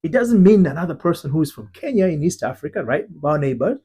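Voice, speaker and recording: A middle-aged man from Tanzania teaches his viewers in an earnest, deliberate way. He often repeats and restarts his words. His voice is husky and mellow, pitched a little high for a man. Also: big pitch swings. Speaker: identity transformation coach. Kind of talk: teaching talk to camera.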